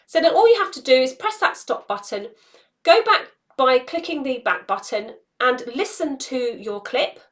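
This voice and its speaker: A woman's voice: sits high, lively intonation, normal speed, fairly bright tone. A woman in her forties explaining an app step by step in an instructional tutorial style. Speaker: social media tutorial presenter. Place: small indoor room, home studio.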